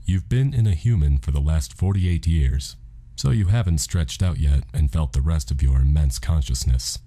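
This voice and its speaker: A man voicing a calm, matter-of-fact God in an audiobook, casual, deadpan and reassuring. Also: very deep voice, normal speed, breathy edge, no echo, full character voice. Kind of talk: audiobook character dialogue.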